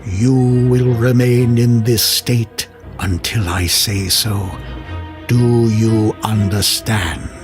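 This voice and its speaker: An actor playing a sinister, domineering mesmerist in an audio drama, speaking in a silky, slow, commanding theatrical way over music. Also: male voice, lowish voice. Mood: grave, commanding.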